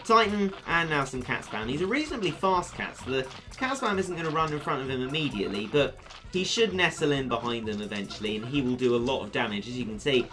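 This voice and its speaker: A man talks through a mobile game with a chatty, self-mocking humor and a slightly hoarse voice. His tone is bright and his pitch leaps around animatedly, and faint music sits behind him. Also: expressive, fast, rough recording. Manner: chatty, upbeat, self-deprecating jokes. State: voice going hoarse. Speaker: gaming YouTuber. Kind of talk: let's play commentary.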